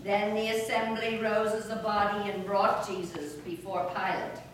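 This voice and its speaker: A woman reading scripture narration in a measured, deliberate way during a church service. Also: medium pitch, level tone. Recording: very echoey church, distant mic, noisy.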